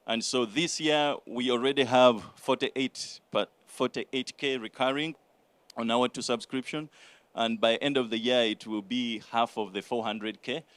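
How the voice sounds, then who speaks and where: A man answering judges' questions at a startup pitch, halting and full of fillers. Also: fairly even intonation, fairly bright tone, no room sound. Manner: hesitant, many fillers. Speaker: startup founder.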